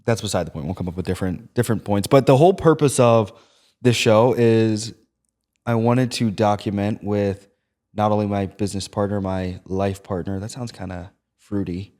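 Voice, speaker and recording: A young man with a fairly low voice hosts a casual podcast chat. He talks in an animated, rambling way, piling on fillers as he goes. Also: moderate pace. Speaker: podcast host.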